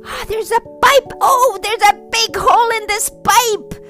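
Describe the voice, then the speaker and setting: A woman voicing an eager little boy who turns into a bunny, stammering and pleading excitedly. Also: very high voice, normal speed, faint music behind, heavily acted. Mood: alarmed.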